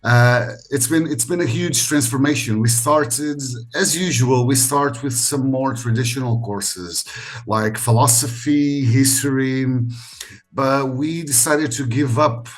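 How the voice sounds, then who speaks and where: A middle-aged man from Portugal speaking as an interview guest, measured and pausing often with fillers, over a video call. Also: medium pitch. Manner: measured, with frequent pauses and fillers. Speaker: university educator, interview guest. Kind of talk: conversational interview.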